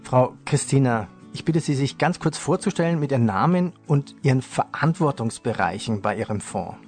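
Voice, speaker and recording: A man's voice: medium pitch, animated, moderate pace, a little mellow, soft background music. Man moderating a finance podcast. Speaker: radio host.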